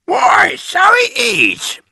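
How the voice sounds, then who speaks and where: A man voicing a shrill, gullible, panicky old wife in a children's storybook, wailing and drawing out the exclamations. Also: wildly swooping pitch, vocal fry, bright, forceful tone, no echo, heavily acted. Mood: puzzled, shrill. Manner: shrieking, wailing, drawn-out exclamations.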